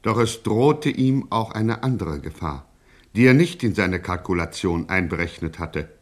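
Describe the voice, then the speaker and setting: Low, solemn serial narrator. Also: male voice, animated, a little slow. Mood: ominous. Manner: solemn, mock-pathetic, declaiming. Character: grave, melodramatic male radio narrator. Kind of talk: scripted radio serial narration.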